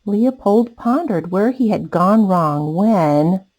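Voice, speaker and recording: Animated woman reading aloud, unhurried. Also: medium pitch. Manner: animated, addressing young listeners. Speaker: children's storytime host. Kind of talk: expressive picture-book read-aloud with asides.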